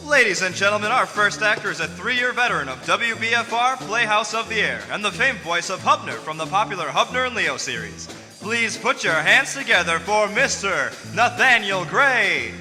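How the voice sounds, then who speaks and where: High-voiced showy vintage radio announcer. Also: male voice, expressive, moderate pace, pushed and bright, exaggerated voice. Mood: grand, welcoming. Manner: formal, grandly projected showman. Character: showy male 1940s radio announcer introducing the cast. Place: theater stage before live audience. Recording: echoey theater hall, music behind.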